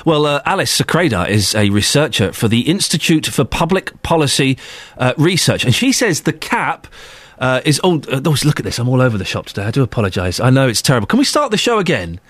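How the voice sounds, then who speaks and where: A man's voice: medium pitch, very animated, quick pace, no echo. A man hosting a radio talk show, bantering and poking fun at himself as he interviews guests. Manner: self-mocking, bantering.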